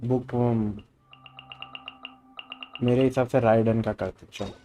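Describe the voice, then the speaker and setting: Fast-talking Indian man streaming a game. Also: medium pitch, steady tone, warm tone, faint music behind. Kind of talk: casual live game commentary.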